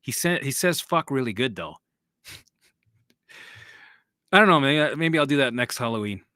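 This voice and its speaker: Bright-voiced man coaching on a live stream. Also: mid-range voice, lively intonation, normal speed, no echo, clean studio sound. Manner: rambling and repetitive, swears casually, laughs at himself.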